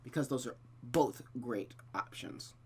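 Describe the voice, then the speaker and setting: Male streamer, mid-pitched, casual and slangy, reading story text aloud and commenting on it. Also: lively intonation, a little slow, a little mellow, slightly rough. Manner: chatty, slangy, mutters asides and tuts. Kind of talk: casual playthrough with read-aloud narration and commentary.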